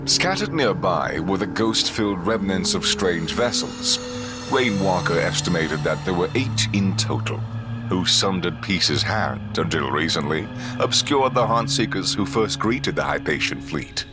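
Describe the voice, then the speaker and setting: A man's voice: low-pitched, animated, measured pace. A man narrating a sci-fi audio drama in a solemn, ominous, dramatic style over music. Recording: loud music under the voice. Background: cinematic music.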